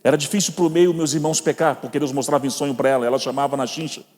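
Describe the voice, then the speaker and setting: A man's voice: on the high side, fairly even intonation, very fast, a little mellow. A man with a Brazilian Portuguese accent preaching a sermon, telling personal stories and calling the listeners brothers. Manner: storytelling, addresses listeners as brothers. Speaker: guest preacher. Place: church hall. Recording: long reverb of a church hall.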